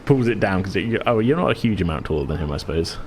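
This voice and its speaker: A man's voice: low voice, expressive, very fast, darkish tone, a little hiss or hum. A man acting as game master, improvising descriptive narration for a tabletop game in a hesitant, searching way.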